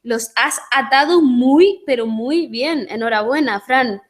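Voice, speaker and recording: A woman's voice: high voice, takes it slowly. A woman with an accent from Spain, hosting an interview and encouraging her guest. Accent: Spain Spanish. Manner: attentive, encouraging, interjects with short replies. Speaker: host.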